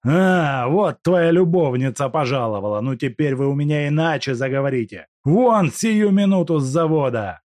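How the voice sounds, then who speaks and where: A man voicing a furious, bullying factory manager who shouts orders and insults in a high, strained voice. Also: expressive, moderate pace, hardly any pauses, no echo, natural voice. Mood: raging. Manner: shouting, commanding, contemptuous.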